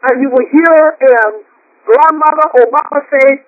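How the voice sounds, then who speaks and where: A woman's voice: sits high, normal speed, no echo. A woman with a Puerto Rican accent preaching a fervent sermon with many repeated restarts, over a phone line. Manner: fervent, repetitive, stammering restarts.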